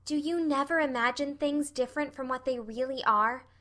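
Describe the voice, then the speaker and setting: Woman voicing an earnest, high-pitched little orphan girl. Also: moderate pace, a bit bright. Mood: wide-eyed. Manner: effusive, wordy, dramatic. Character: earnest, imploring, dreamy young orphan girl, talkative. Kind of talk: audiobook character voice.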